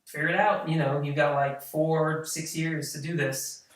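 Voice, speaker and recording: A man's voice: on the high side, steady tone, normal speed. A thirty-year-old man, a drummer guesting on a podcast, talking in an easygoing, chatty way full of "like" and "you know". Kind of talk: casual chat. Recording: echoey room, mic far off.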